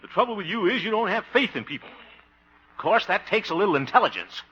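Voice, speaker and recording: An actor playing a blustery, trusting, soft-hearted small-town man in a 1950s American radio comedy, flustered and wisecracking, with an American accent. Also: high-pitched, very animated, a little fast, husky, heavily acted. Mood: flustered, indignant. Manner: flustered, wisecracking, exclaiming with nervous chuckles. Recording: muffled, noisy 1950 radio broadcast.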